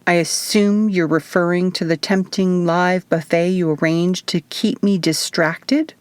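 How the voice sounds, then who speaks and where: A woman's voice: fairly low pitch, steady tone, a little slow, dry recording, lightly acted. Woman voicing a sardonic, irritable wounded man. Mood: defensive sneering. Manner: sneering, grumbling.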